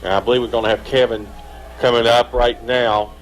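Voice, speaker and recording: A man announcing a high school football game on live radio, chatting through scores and interviewing the coach. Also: little pitch movement, a little fast, soft background music, low-quality recording. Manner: upbeat, conversational, asks long setup questions. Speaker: play-by-play announcer. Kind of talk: live sports radio commentary.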